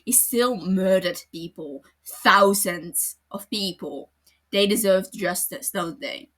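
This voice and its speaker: A woman voicing an angry, blunt adult male detective in a podfic, with loud, scornful delivery. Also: medium pitch, takes it slowly. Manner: furious, scornful, shouting. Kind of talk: podfic character reading.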